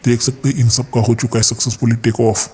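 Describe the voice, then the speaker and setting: A man's voice: medium pitch, little pitch movement, brisk, breathy edge. An Indian man hosting a gaming channel, giving casual gameplay commentary and explaining things to viewers as his friends.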